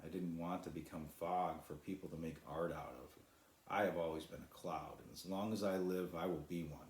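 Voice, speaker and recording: A man voicing a sad, weary, gentle female cloud in a children's storybook, apologetic and wistful. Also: low voice, fairly even intonation, a little fast. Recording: roomy echo.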